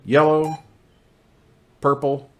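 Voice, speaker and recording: A man's voice: a little high, level tone, extremely slow, a bit bright, a little hiss or hum. A man talking casually through a hands-on product demonstration, explaining features step by step as a reviewer.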